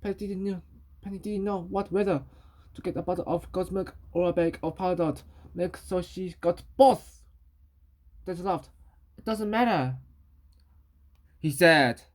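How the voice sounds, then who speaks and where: A woman with a slightly low voice reads a children's story aloud. Her delivery is halting, and she often goes back over words to repeat or fix them. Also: a little mellow. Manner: halting, repeats and corrects words while reading.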